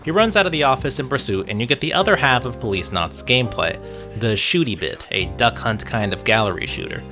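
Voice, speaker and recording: A man's voice: mid-range voice, very animated, quick pace, vocal fry. A man narrating a scripted video essay in a dry, wry manner. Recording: music bed behind voice. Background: background music with guitar.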